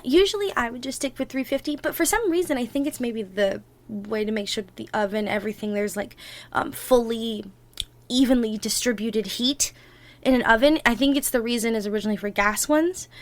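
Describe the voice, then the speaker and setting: Chatty, higher-voiced affectionate girlfriend, acted by a woman. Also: moderate pace, close to an everyday voice. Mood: chatty, instructive. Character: affectionate, excitable girlfriend teaching baking at home. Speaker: audio roleplay performer. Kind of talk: ASMR girlfriend roleplay.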